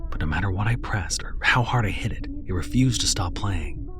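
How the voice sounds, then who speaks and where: A man narrating a horror story in a low, hushed, tense voice that slips into whispers. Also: expressive, fairly quick.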